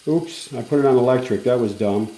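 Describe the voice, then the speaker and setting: A man's voice: medium pitch, a little fast, background noise. A man giving a casual, enthusiastic, folksy hands-on demonstration as a radio restorer, filmed on a smartphone. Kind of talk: casual hands-on demo chat. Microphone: handheld smartphone camera. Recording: roomy phone-camera sound. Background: vintage radio playing music.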